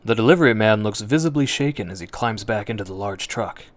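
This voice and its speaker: A man narrating a scripted story in the second person, in an expressive, suspenseful old-time radio drama style. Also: lowish voice, fast. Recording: narration over prominent background music.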